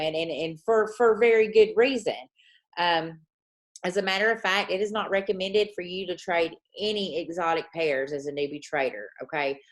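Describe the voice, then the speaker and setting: A woman teaching a trading lesson, dictating slowly and repeating points, often checking in with "okay?". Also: fairly low pitch, fairly bright tone. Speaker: forex trading coach.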